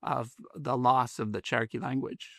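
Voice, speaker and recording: A man's voice: medium pitch, steady tone, moderate pace, gravelly, no room sound, clean studio sound. A man who develops a school curriculum, giving thoughtful interview answers with casual fillers.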